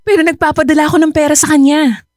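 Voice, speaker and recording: An actress playing a wronged breadwinner and older sister in a Filipino radio drama, confrontational and emphatic. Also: female voice, high-pitched, little pitch movement, fast, darkish tone, dry recording, close to an everyday voice. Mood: worried, puzzled.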